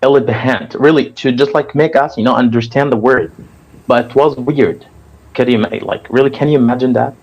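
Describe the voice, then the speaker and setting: A young man with an Egyptian accent speaks earnestly in an easygoing chat, leaning on "like" and "you know" as he goes. His voice sits at an everyday middle pitch. The audio is a bit dull and muffled. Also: brisk, a little mellow, breathy edge. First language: Arabic. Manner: earnest, filler-heavy with frequent "like" and "you know". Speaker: guest. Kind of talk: casual conversation.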